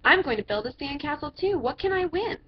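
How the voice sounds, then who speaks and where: An earnest, insistent little boy from a storybook, voiced by a woman, high-pitched and emphatic. The recording sounds dull, with noise behind. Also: lively intonation, fairly quick. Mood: eager. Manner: emphatic, earnest, stretched-out words. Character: eager, insistent little boy in a picture book.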